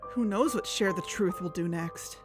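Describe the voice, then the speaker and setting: A woman's voice: on the low side, normal speed, natural voice. A woman playing an earnest, suspicious podcast investigator in a conversational audio drama. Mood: agitated. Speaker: podcast co-host. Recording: music under the voice.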